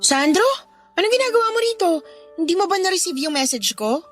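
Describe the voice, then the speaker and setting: High-pitched exasperated Filipino mother. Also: animated, fairly quick. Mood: surprised. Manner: casual, pleading, then snappy and bossy. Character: exasperated young mother, brisk, bargaining with her ex. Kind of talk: Filipino radio romance drama acting. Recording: music under the voice.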